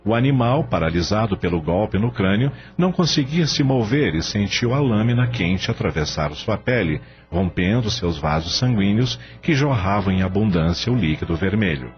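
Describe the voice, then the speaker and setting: Deep Brazilian man narrating with dramatic swings. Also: expressive, normal speed, hardly any pauses, muffled recording. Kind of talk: dramatic scripted radio novel narration. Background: music.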